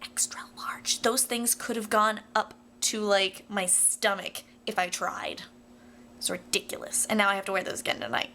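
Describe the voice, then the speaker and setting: Bright-voiced young American woman chatting casually. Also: mid-range voice, steady tone, moderate pace, whispered. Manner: self-deprecating, playful, rambling. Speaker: vlogger. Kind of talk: casual personal video diary chat.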